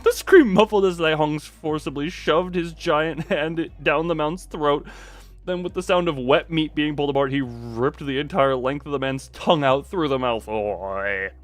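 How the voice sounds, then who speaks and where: A man doing livestream commentary over a story game, reading it aloud dramatically with animated, incredulous asides and some swearing. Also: on the high side, moderate pace.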